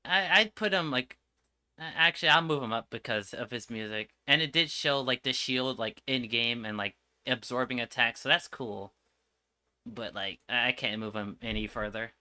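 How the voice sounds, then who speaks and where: A man's voice: medium pitch, normal speed, pushed and bright. A man giving casual, slangy reaction commentary as a game streamer, with an exasperated manner.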